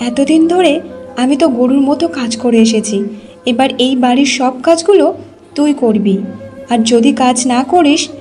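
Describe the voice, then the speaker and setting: A woman's voice: sits high, unhurried, mellow, faint music behind. A woman voicing a cruel, bossy young daughter-in-law who scolds and threatens in a cartoon. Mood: scornful, threatening.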